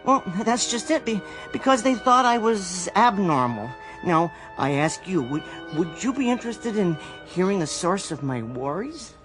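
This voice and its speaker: A man's voice: sits high, sing-song, moderate pace, hardly any pauses, over music. An actor playing a timid, awkward American salesman in a radio drama, stammering, hesitant and rambling apologetically. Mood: hesitant, eager.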